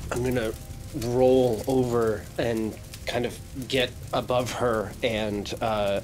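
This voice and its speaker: A man playing a tabletop roleplaying game, speaking casually in a nervous, halting, animated way. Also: mid-range voice, slight background noise.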